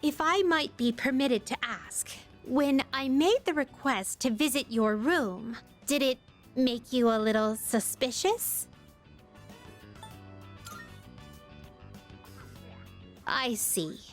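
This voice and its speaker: Curious, refined visitor from another world; fairly high, formal game voice, music behind. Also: female voice. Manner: elegant, formal, deliberate wording. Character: poised, curious otherworldly young woman, very formal. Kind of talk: video game voice acting.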